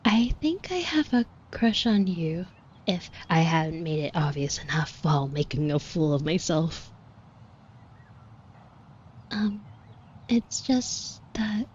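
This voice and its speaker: Woman acting a soft, breathy, shy florist. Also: mid-range voice, lively intonation, slow pace, long pauses, highs cut off. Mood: flustered, embarrassed. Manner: hesitant, stammering, rambling, apologetic. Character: shy, flustered young woman florist confessing a crush. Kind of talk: intimate roleplay audio acting.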